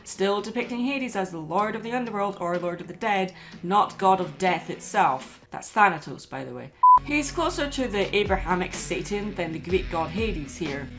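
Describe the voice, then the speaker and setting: A woman's voice: mid-range voice, animated, normal speed. A woman host reading a scripted video essay in an opinionated, emphatic way. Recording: music playing behind the voice.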